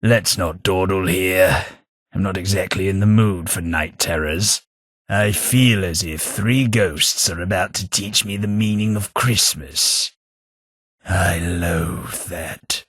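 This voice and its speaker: A man's voice: deep voice, slow, a bit bright, slightly rough, dry recording, exaggerated voice. A man voicing a snobbish, sardonic male ghoul aristocrat with an English accent, delivering game companion lines in a prim, dry upper-class drawl. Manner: prim, snobbish, wryly sarcastic.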